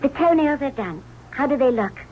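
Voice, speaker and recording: An actress playing a gentle, sensible young Egyptian confidante in a 1970s radio drama, earnest, reassuring and theatrical. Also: female voice, high voice, animated, normal speed, narrow, tinny audio. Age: young adult. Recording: thin, noisy old radio recording.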